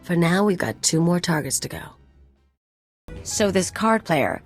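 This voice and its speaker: Low-voiced, sardonic female mercenary. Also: animated, unhurried, soft background music. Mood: casual. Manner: sardonic, blunt, nonchalant. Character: tough, sardonic female mercenary, cool and dismissive. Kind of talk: video game voice acting.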